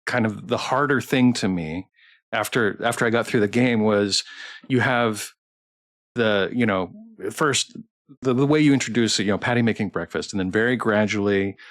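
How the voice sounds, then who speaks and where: A man with a fairly low voice hosts a casual interview on a stream. He speaks enthusiastically but haltingly, often cutting into his own sentences. The sound is close and dry, with little room around it. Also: dry recording. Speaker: streamer hosting a developer interview.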